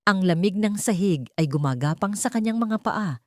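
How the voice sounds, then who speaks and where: A woman narrating a dramatic audiobook in a steady, somber storytelling manner. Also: medium pitch, normal speed, no echo, clean studio sound.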